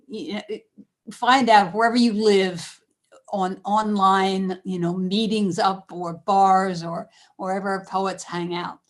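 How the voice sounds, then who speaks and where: A woman poet and teacher giving earnest, emphatic, instructive advice in a conversational way on an online panel. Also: medium pitch. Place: online video call.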